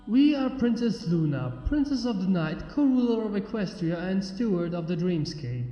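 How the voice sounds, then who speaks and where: A man's voice: high-pitched, sing-song, moderate pace, warm tone. A man voicing a regal, formal female alicorn pony princess in a storybook, with archaic, stately speech. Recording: muffled, echoey long reverb under music.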